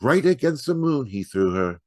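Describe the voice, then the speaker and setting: A man's voice: mid-range voice, sing-song, normal speed, no room sound, clean studio sound, pitched above the performer's own voice, natural voice. A man voicing a tender old grandmother in a poetry reading, soothing and patient as she teaches a child. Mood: patient, explaining.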